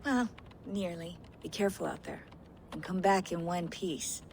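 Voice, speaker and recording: Creaky-voiced supportive woman teammate. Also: on the low side, moderate pace, a little mellow, a little hiss or hum. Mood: fond, concerned. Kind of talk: dramatic video game cutscene acting.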